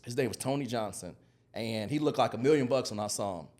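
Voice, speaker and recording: A man's voice: medium pitch, fast, darkish tone. An American man in his early forties, a podcast guest telling stories in a casual, conversational style. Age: early 40s. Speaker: podcast guest, digital marketing consultant.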